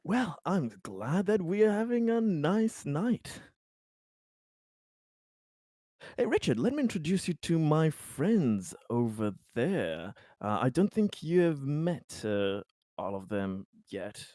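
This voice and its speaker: A man acts out a glib colonial schemer for a roleplaying game. He is self-assured and placating, slow and full of repeats, with lively intonation in a dry, close recording. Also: on the high side, dry recording, voice pushed up. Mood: genial, confident. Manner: halting, repeats himself, chuckles. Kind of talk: improvised in-character roleplay.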